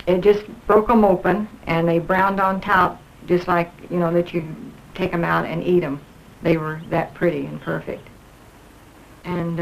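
Low-voiced middle-aged woman, halting. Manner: halting, hesitant, plain-spoken. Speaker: fire survivor, homeowner. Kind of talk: personal testimony, walking tour. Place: burned-out rooms inside a house. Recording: noisy old educational film soundtrack.

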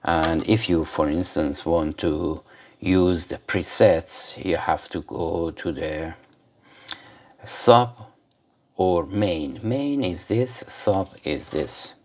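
Male gadget reviewer, deep pitch, unhurried and rambling, casual hands-on demo. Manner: rambling, hesitant. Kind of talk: casual unscripted product demonstration.

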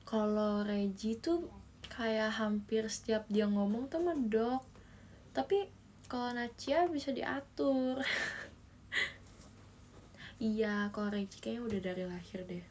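A woman's voice: mid-range voice, slow pace, pauses often, a little mellow, slight background noise. A young woman hosting a casual phone livestream from her bedroom, chatting with viewers, reading their comments and giggling often. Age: young adult. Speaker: idol livestream host. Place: small bedroom indoors. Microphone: phone held close in selfie framing.

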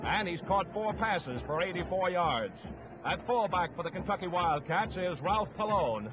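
A man announcing football lineups on 1950s radio in a measured, formal delivery. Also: high-pitched, noisy background. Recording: dull, lo-fi 1950s radio broadcast.

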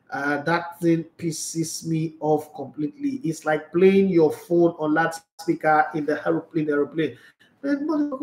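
A man, hosting a casual football chat show and answering viewer comments in an animated, rambling way. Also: high-pitched, roomy sound. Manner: animated, rambling, gestures a lot. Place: small indoor studio room.

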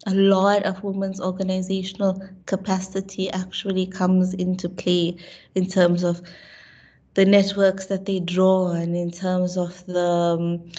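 A woman's voice: on the low side, completely flat delivery, unhurried, mellow. A woman in her thirties with a South African accent and a slight nasal cold, answering thoughtfully on a video call with many fillers and restarts. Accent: South African English. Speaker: panelist, lecturer. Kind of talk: webinar panel answer. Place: room at home with bookshelves. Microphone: laptop webcam video call. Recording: dull lo-fi video call audio.

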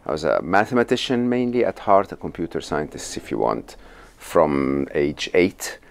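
A man in his fifties from Egypt, a podcast guest talking in a measured, thoughtful way with many pauses, recorded on a lapel mic. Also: low-pitched, expressive, gravelly. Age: 50s. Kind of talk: reflective interview talk. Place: bright studio office with plants.

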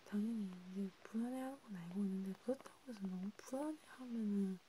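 A woman's voice: medium pitch, fast, low-quality recording. A woman whispering breathily and hesitantly, close to the mic, in a casual ASMR roleplay conversation. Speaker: ASMR performer. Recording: steady background hiss and noise.

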